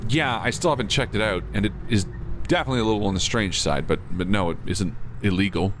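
A man's voice: fairly low pitch, quick pace, close to an everyday voice. A man playing an easygoing, skeptical but supportive police officer in conversational audio drama dialogue. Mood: calm, curious. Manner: wry, reassuring, conversational. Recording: clear car and road noise. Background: moving car ambience.

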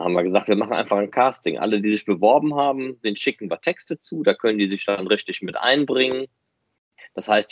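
Male podcast co-host: fairly deep, casual, emphatic explainer, very dry dull sound. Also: dry recording. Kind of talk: casual chat.

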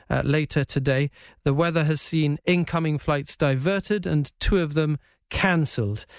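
A man hosting a live current affairs programme, asking questions in a conversational, halting way. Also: a little high, no echo, clean studio sound. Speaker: radio and TV programme host.

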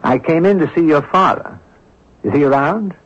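A man acting as a brisk, eager investigator in a radio drama, speaking with urgency and decision. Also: a little high, natural voice. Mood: friendly. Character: brisk, eager male investigator with a polite streak. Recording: dull vintage radio broadcast.

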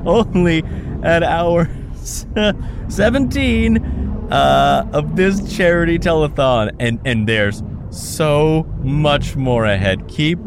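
An actor playing a flustered radio telethon host who laughs nervously and begs listeners to call. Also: male voice, fairly high pitch, lively intonation, slow, noisy background.

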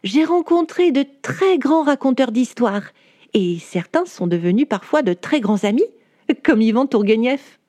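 A woman's voice: on the high side, animated, measured pace, no room sound. Woman playing a chatty 19th-century woman writer. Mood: lively. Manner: warm, chatty, speaks directly to the listener. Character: 19th-century woman writer telling her own life story. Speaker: storyteller. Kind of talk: first-person storytelling for children.

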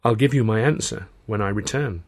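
A man's voice: fairly low pitch, a little fast, darkish tone, lightly acted. A man voicing a loving but weary and firm young woman in audiobook dialogue, speaking earnestly and pointedly.

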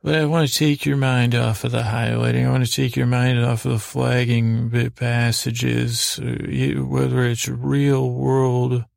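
Man, very creaky voice, close dry sound, whimsical bedtime rambling, halting, meandering, podcast host. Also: mid-range voice, level tone, no room sound. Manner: meandering, halting, repeating half-words; whimsical, mock-serious. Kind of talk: rambling bedtime monologue to help listeners sleep.

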